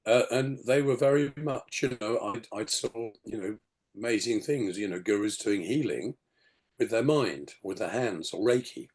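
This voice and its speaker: Low gravelly guy in his 60s, bit hoarse, rambly storyteller on a video call. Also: moderate pace, a little mellow, rough recording. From England. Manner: rambling, lots of "you know" and restarts. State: hoarse after a detox. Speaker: podcast guest. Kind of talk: casual storytelling interview.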